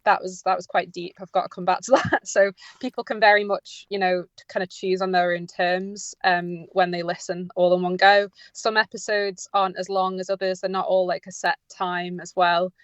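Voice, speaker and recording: Female interview guest, informal and hesitant, slightly low register, dry but lo-fi capture. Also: little pitch movement, moderate pace, few pauses, dry recording. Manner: hesitant, informal, self-correcting. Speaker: audio drama writer and producer, interview guest. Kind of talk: unscripted radio interview answers.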